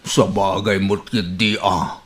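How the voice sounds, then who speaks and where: Slow, timid old Ilocano suitor. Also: male voice, low voice, level tone, a bit bright. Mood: nervous. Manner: hesitant, stammering. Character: Kurad, timid, stammering old suitor hiding a secret. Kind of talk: Ilocano radio comedy drama acting.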